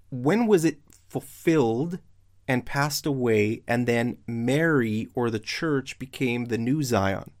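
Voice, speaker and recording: A man with a hoarse, failing voice giving casual online Bible commentary, posing pointed questions to his audience. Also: mid-range voice. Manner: slow and deliberate. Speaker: religious video commentator.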